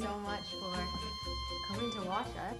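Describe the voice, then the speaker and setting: A teenage singer chatting casually on a live stream, giggly and talkative, with lots of "like". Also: female voice, mid-range voice, steady tone, slow pace, over loud music. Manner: giggly, talkative, says 'like' often. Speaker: singer hosting a live show. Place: indoor studio room with piano and guitar.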